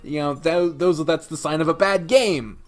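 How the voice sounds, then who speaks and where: A man's voice: fairly high pitch, moderate pace, soft background music. A man giving a casual, opinionated video review, speaking bluntly and emphatically with exasperation and plenty of swearing. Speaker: video host reviewing games.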